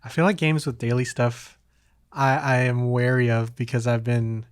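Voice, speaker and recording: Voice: mid-range voice, level tone, normal speed, smooth, no echo. A podcast host chatting casually about video games in an informal, slightly hesitant way.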